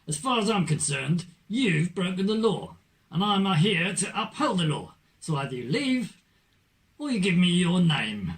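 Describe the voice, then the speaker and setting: A performer puts on an officious police officer for a puppet show, stern and insistent. The delivery swings widely in pitch and sits a little far from the microphone. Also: very animated, measured pace. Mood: stern, dismissive. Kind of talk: scripted puppet show dialogue.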